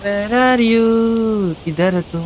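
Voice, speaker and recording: A man's voice: high voice, brisk, dull audio. A man with an Indian accent doing casual, playful live game commentary, often repeating phrases. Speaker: game streamer. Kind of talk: casual live gameplay commentary, mixing Hindi and English. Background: game music.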